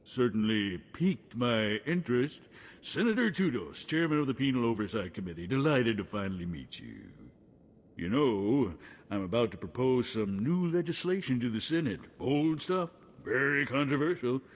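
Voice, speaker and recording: A self-important senator in a game cutscene. His mid-pitched voice has a rough, husky edge, and he delivers everything with grandiose political flourish. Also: sing-song, moderate pace. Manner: grandiose, theatrical politician's patter. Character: pompous, smooth-talking male politician, a senator. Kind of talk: video game cutscene acting.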